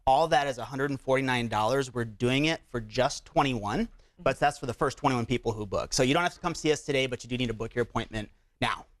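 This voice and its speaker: A man talks quickly in a mid-range voice as a doctor guest on a TV interview. He explains in a conversational, promotional style, often checking that the listener follows. The sound is dry. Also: mid-range voice, no echo. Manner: explanatory, uses rhetorical check-ins like "right?" and "okay?".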